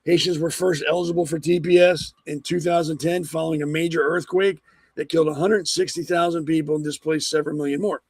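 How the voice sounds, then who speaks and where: Male talk host, hoarse, gravelly, slightly high, opinionated ramble. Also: steady tone, fast talker, dry recording. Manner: blunt, rambling, with frequent ums and restarts. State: hoarse from a cold. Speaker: podcast host. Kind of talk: opinionated political commentary.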